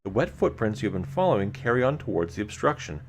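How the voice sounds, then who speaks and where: A man's voice: on the low side, sing-song, fast, a little hiss or hum. A man live-streaming a read-aloud of an adventure gamebook, mixing storytelling narration with casual asides to his viewers.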